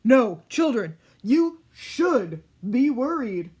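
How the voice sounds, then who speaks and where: A woman's voice: a little high, big pitch swings, a little mellow, a little hiss or hum. A woman playing an exasperated storyteller who corrects her wayward characters in a comic children's read-aloud. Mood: warning. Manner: deliberate, exasperated.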